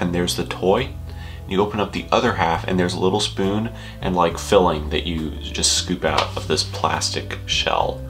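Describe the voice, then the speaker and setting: A young man with a very deep voice talks to camera as a vlogger. He rambles casually from one little story to the next with dry, self-deprecating wit. Faint music sits behind his voice. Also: fairly bright tone. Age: young adult.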